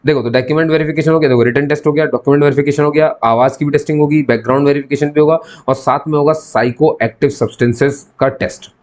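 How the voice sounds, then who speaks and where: A man's voice: on the high side, steady tone, quick pace, hardly any pauses, darkish tone. A man giving an informal explainer to viewers as a channel host, instructive, repeating key points and posing questions that he then answers.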